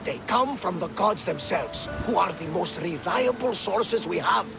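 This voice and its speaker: High, creaky, bantering Greek sidekick. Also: male voice, expressive, a little slow, over music, heavily acted. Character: teasing, skeptical male Greek adventurer, cocky but loyal companion. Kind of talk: video game cutscene acting.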